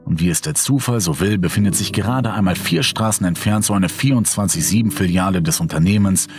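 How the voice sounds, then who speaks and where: A man's voice: low-pitched, brisk, music in the background, close to an everyday voice. A man narrating a horror audio drama as a sleepless, brooding character, in a moody, dramatic way. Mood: restless, irritated. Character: sleepless, brooding man haunted by a mysterious hole.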